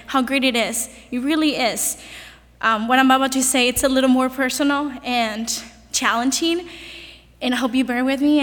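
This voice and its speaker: High-voiced young woman, echoey hall. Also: fairly bright tone. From Venezuela. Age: young adult. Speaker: graduating student speaker. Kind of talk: personal prepared speech to an audience. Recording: echoey hall with long reverb.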